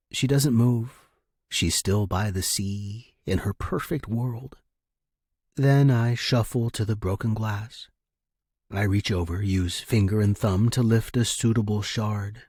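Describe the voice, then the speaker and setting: A man narrating first-person fiction in a measured, intimate and dramatic way, with deliberate, hushed phrasing. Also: on the low side, no echo, clean studio sound.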